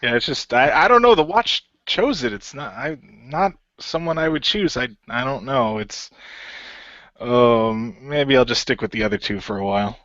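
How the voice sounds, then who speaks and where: A man playing an apologetic, unsure hero in an amateur radio play, speaking in a halting, worried way. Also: mid-range voice, lively intonation, fairly bright tone, dry recording, lo-fi sound, lightly acted. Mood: flustered, unsure. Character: David, apologetic, unsure young male hero.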